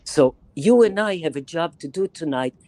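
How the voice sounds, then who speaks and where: A woman in her eighties from Israel with a Bronx accent, lecturing a reading group over a video call in an animated, emphatic way, repeating phrases for effect. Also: low-pitched, moderate pace, dry recording. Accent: Bronx New York. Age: 80s. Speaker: guest lecturer. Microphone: webcam on video call, close to the face.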